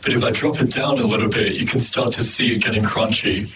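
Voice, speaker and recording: A man's voice: on the low side, fairly even intonation, brisk, distant mic. Hissy vocoded man, synthetic and noisy. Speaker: music production tutorial host. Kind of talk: instructional screen-recording narration.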